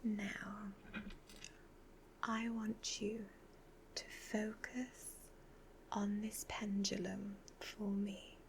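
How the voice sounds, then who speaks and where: A woman playing a sly, commanding evil witch fortune teller in a soft-spoken ASMR roleplay, half-whispering slow, measured instructions. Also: mid-range voice, little pitch movement, creaky, background noise. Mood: soothing, commanding.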